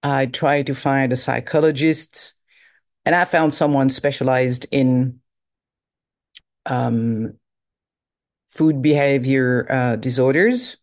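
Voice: medium pitch, steady tone, slow, long pauses. A speaker in their fifties with a French accent, a native French speaker, giving a candid and reflective personal testimony. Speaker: recovery group member.

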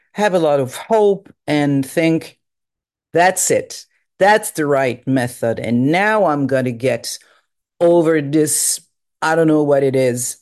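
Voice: medium pitch, darkish tone. A speaker in their fifties with a French accent, a native French speaker, giving a candid and reflective personal testimony. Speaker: recovery group member.

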